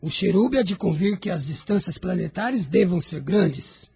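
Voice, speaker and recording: A man playing a proud, resentful dark spirit lord in an audio drama, raspy, gravelly and sardonic. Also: high voice, animated, normal speed, soft background music, exaggerated voice. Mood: defiant, sardonic.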